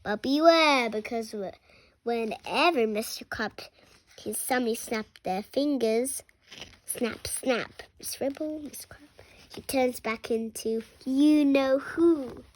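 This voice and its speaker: A seven-year-old child reading a funny children's book aloud, expressively and with some halting stumbles over words. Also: medium pitch, poor audio. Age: 7 years old. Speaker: young reader.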